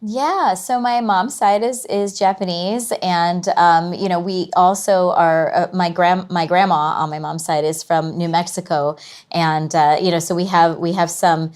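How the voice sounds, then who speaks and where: A woman's voice: fairly low pitch, fairly even intonation, darkish tone. A woman from Southern California with an American accent, chatting casually and readily as an interview guest. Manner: chatty, quick to laugh. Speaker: guest, curator and academic.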